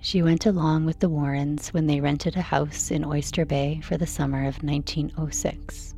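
Low, mellow woman narrating a sleep story. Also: level tone, normal speed, gravelly. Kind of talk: slow, soothing scripted bedtime narration.

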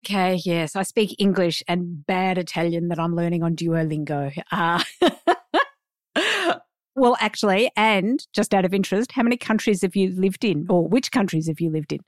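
A woman's voice: fairly low pitch, animated, moderate pace, no echo, clean studio sound. A woman hosting a podcast, asking a guest curious questions with self-deprecating asides. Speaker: podcast host. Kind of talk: interview podcast.

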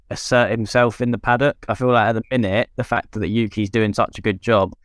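Smooth-voiced man chatting casually. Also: fairly low pitch, dry recording, clean studio sound. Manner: conversational, many "you know" fillers. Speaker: podcast co-host, former racing driver. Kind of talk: casual chat.

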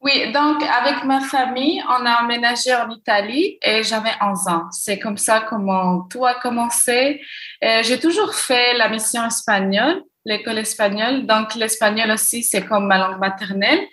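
A young Moroccan woman answering interview questions, often pausing and restarting as she searches for words. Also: fairly high pitch, a bit bright, roomy sound. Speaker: podcast guest, gender equality consultant.

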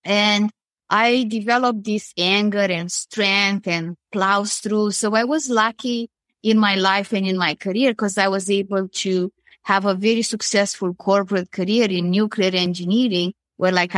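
A woman's voice: medium pitch, fairly bright tone, dry recording. A woman from Romania with a Romanian accent, speaking earnestly as a panel guest about her foundation. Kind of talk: panel discussion on a call.

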